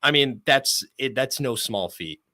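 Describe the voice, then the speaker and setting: A male sports broadcaster chatting as a podcast guest. His voice is medium-pitched with a bright, forward edge and a very dry, close sound. He talks at length in an emphatic, appreciative way. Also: animated, measured pace, dry recording. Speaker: sports play-by-play announcer, interview guest. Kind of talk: conversational sports podcast interview.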